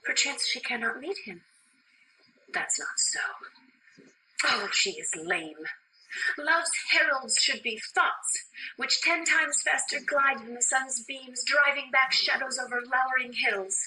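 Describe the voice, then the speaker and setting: A woman's voice: sits high, a little slow, sharp tone, full character voice. A woman playing an impatient, lovestruck teenage girl in a theatrical Shakespearean drama, declaiming and pleading with dramatic emphasis. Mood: impatient longing. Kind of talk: theatrical Shakespearean stage acting. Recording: distant microphone.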